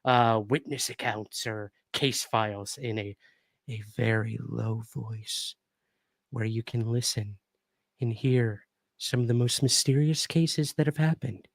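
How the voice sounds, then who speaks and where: A man with a calming, middle-pitched voice hosts a livestream, chatting upbeat and easy with his viewers. He speaks close to a studio microphone in a dry room with no echo. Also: measured pace. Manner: upbeat, thanks viewers and reads chat aloud. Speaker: livestream podcast host. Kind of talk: casual livestream chat with viewers. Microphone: new studio microphone.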